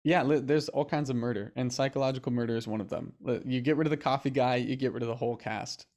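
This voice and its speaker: A man with a mid-pitched voice talks as a guest in an informal group chat. The delivery is casual and excitable, like an actor bantering with castmates. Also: fast talker. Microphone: video call.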